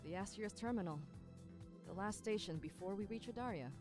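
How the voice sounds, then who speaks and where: A woman's voice: medium pitch, brisk, natural voice. An actress voicing a protective, teasing young woman, a loyal best friend in a visual novel game, speaking in a wry, casual way. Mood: curious. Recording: background music under the voice.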